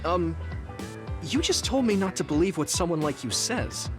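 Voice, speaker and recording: A man's voice: a little high, animated, normal speed, close to an everyday voice. An actor playing an earnest, questioning young male soldier in a video game cutscene. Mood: puzzled, earnest. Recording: music behind the voice.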